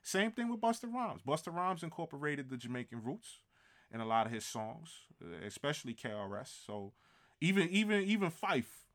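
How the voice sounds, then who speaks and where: A man co-hosting a podcast in casual chat, talking with enthusiasm and giving shout-outs. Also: fairly high pitch, big pitch swings, moderate pace. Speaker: podcast co-host.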